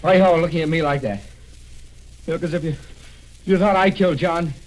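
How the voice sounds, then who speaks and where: An actor playing a jumpy, bitter young heir in 1940s radio style, agitated and snapping defensively. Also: male voice, fairly high pitch, fairly quick, close to an everyday voice, poor audio. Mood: angry, defensive. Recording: hissy vintage radio recording.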